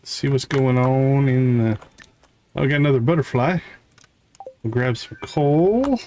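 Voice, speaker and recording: A man's voice: mid-range voice, expressive, measured pace, darkish tone. Man streaming games over clicky background noise. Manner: thinks aloud, chatty with viewers. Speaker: live streamer playing a farming game. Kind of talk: casual live gameplay commentary.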